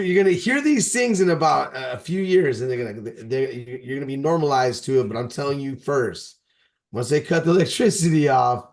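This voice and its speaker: A man's voice: a little high, a little mellow. A man in his forties talking casually on a podcast. He rambles and stresses his points, often restarting his sentences. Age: 40s. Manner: rambling, emphatic, with frequent restarts.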